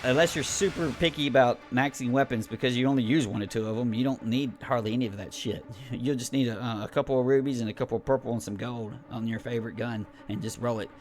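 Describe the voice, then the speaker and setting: A man's voice: mid-range voice, quick pace, few pauses, a little hiss or hum. Tired, rambling man reviewing a game. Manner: rambling, profane, many restarts and fillers. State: tired, late at night. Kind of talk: casual spoken game review.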